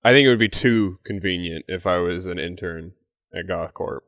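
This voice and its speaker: A man's voice: sits low, normal speed, fairly bright tone, no echo, clean studio sound. A man talking casually as a player in a tabletop role-playing game.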